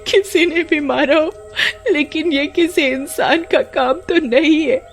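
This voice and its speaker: A woman voicing a shocked, upset villager in an animated horror story, exclamatory and wailing. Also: high-pitched, slow, faint music behind, pitched above the performer's own voice. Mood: distraught.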